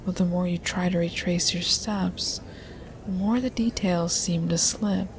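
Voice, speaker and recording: A woman speaking as a hypnotist in a hypnosis ASMR style, in a hushed half-whisper with coaxing, questioning turns. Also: on the low side, fairly even intonation, a little slow. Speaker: hypnotist in a collaborative audio roleplay. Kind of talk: hypnosis ASMR, slow guiding patter.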